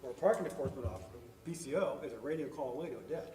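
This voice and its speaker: A man talks very fast in a mellow, darker voice, blunt and full of "you know". He is distant from the mic in an echoey hall. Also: mid-range voice, a little hiss or hum. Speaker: resident at a community meeting. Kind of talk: public meeting Q&A. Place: school meeting hall.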